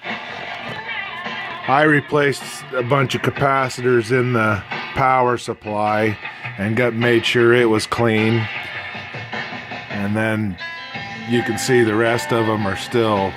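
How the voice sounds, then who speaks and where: Slow talking guy doing an informal fix-it video, thinks out loud, radio music going behind him. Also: medium pitch, expressive. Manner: plain-spoken, informal, thinking aloud. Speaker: amateur radio restorer demonstrating a repair. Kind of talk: casual hands-on video narration. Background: music from a vintage radio being tested.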